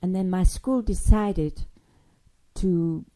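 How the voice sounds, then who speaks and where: Older woman, low and mellow-dark, halting oral-history storyteller. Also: poor audio. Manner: halting, digressive storytelling with many restarts. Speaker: interviewee recounting childhood. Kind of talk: spoken memoir, oral history.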